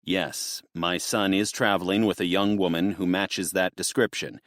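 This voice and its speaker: Man playing ex-Marine father, deep voice, clipped, tense restraint. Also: fairly even intonation, normal speed, fairly bright tone, no echo, clean studio sound. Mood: restrained. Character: furious father, ex-Marine, holding back rage.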